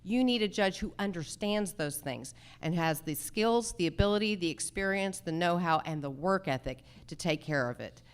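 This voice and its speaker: Deliberate woman with a lowish voice. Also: expressive, clean tone. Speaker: candidate for district judge. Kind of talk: formal forum answers, measured campaign speech.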